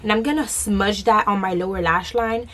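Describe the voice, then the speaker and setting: A young woman with a raspy, hoarse voice after losing it, chatting casually through a makeup tutorial and giggling now and then. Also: medium pitch, normal speed, a bit bright. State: hoarse, lost voice. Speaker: beauty vlogger.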